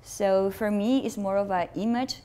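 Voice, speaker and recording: A woman's voice: mid-range voice, steady tone, normal speed, mellow, clear, resonant tone. A woman from Mexico, a business owner and actress, answering interview questions in a matter-of-fact way. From Sinaloa, Mexico.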